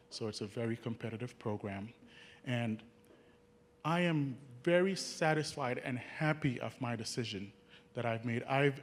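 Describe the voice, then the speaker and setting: A young Jamaican man, a nursing student, telling an audience about his path in an earnest, halting way, with many restarts. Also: medium pitch, slight background noise. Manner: earnest, halting, frequent restarts, chuckles at his own stories. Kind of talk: personal story talk to an audience.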